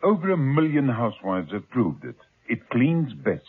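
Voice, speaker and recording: A man giving an emphatic, persuasive pitch in an old radio commercial. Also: sing-song, normal speed. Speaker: advert voice-over. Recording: tinny old radio recording.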